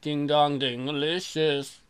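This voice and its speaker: A man's voice: a little high, flat delivery, takes it slowly, smooth. A man hosting a let's play, casually commenting and reading aloud in an irreverent, joking, sweary way.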